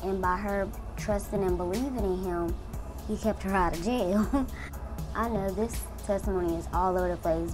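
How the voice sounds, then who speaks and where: A woman's voice: medium pitch, darkish tone, poor audio. A woman in her twenties, a beauty vlogger, telling her personal story in a casual, earnest way and talking straight to her viewers. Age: 20s. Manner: chatty, earnest, addresses viewers as y'all. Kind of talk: casual testimony while doing makeup.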